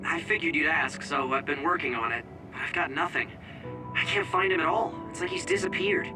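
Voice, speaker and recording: A man's voice: a little high, fairly quick, over music, close to an everyday voice, rough recording. A man playing a diligent young tech operator in a dubbed video game cutscene. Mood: troubled.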